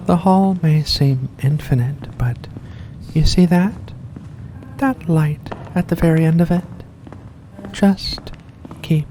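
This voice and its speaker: Someone doing a creepy courteous vampire for asmr, teasing, really slow talking, medium pitch, bit of noise behind. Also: darkish tone, natural voice. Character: seductive mysterious vampire host luring in a guest. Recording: faint background noise.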